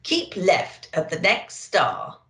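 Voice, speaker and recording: Woman putting on a slow, bossy sat-nav lady. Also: lowish voice, wildly swooping pitch, fairly bright tone, echoey room, exaggerated voice. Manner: bossy, clipped instructions. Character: bossy female satellite navigation machine.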